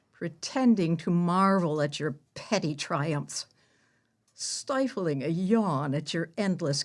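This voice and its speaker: A woman playing a proud biblical heroine in an audio play, telling her tale in a measured, mocking manner. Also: lowish voice. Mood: scornful. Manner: measured, mocking, deliberate storytelling. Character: proud, sardonic biblical heroine who slew an enemy general. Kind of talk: theatrical audio-play monologue.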